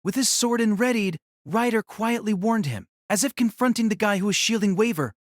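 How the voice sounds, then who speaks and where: Male narrator for fantasy audio drama, slightly high voice, scripted dramatic reading, dry close recording. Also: fairly quick, dry recording.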